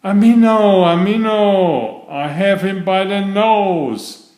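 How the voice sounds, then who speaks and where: A man voicing an excitable, fussy gentleman frog from a storybook, exclamatory and easily alarmed. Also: high-pitched, slow pace, echoey room, voice pushed up. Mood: excited, triumphant. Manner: exclamatory, dramatic. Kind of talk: storybook character reading.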